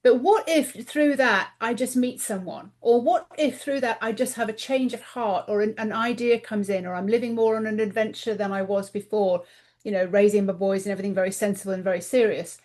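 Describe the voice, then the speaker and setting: A woman's voice: mid-range voice, fairly even intonation, brisk, darkish tone. A middle-aged woman, a guest author in a casual podcast interview, talking earnestly and voicing her own inner thoughts aloud.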